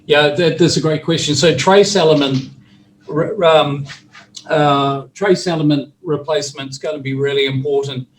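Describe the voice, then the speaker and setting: A man's voice: a little high, steady tone, moderate pace, far from the mic, slight background noise, poor audio. A man with a New Zealand accent, chatting casually on a video call as a recovery specialist, with lots of fillers and restarts.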